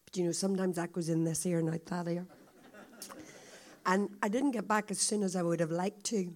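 A woman with a croaky, hoarse voice, a visiting speaker telling a story to a congregation in a chatty, confiding way. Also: lowish voice, steady tone, fairly quick, darkish tone. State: hoarse, coughing.

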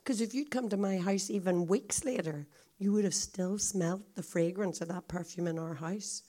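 A woman with a croaky, hoarse voice, a visiting speaker telling a story to a congregation in a chatty, confiding way. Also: medium pitch, normal speed, warm tone. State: hoarse, coughing.

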